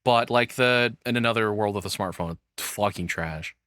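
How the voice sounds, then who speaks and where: A man's voice: lowish voice, normal speed, a bit bright, dry recording, studio quality. A man giving casual reaction commentary in a rambling, sweary, exasperated way. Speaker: streamer reacting to a video essay.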